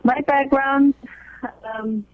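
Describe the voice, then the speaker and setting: A high-pitched man, born in Afghanistan and raised in the United States, answers radio interview questions about his aid work. He speaks earnestly in a flat, even tone and often restarts his sentences. The sound is dull and lo-fi, with a dry, close feel. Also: drawn-out words, no room sound. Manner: earnest, explains step by step with frequent restarts. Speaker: leader of a women's aid organization. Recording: dull lo-fi audio.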